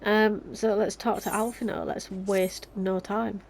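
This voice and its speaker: A woman's voice: medium pitch, level tone, a little slow, creaky, darkish tone, a little hiss or hum. A young woman with a Yorkshire accent and a blocked, nasal voice, chatting casually as she plays a video game. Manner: chatty, self-mocking, laughs often. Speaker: let's play host. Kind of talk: casual gameplay commentary.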